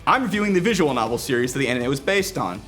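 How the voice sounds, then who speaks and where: A man's voice: mid-range voice, big pitch swings, racing, a bit bright, faint music behind. A man presenting a scripted video game review with casual asides.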